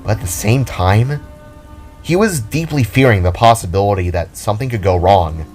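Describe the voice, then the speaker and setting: A man reading a scripted story aloud as a narrator, in a solemn, dramatic way with pauses. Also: fairly low pitch, expressive, darkish tone, soft background music. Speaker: narrator and reader of own audio drama.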